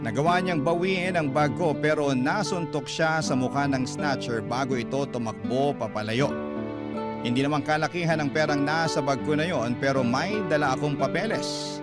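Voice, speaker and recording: An actor playing a grateful salon owner who earnestly recounts their own story as first-person radio drama narration. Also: male voice, on the high side, normal speed, lightly acted. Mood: earnest, reminiscing. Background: loud guitar music.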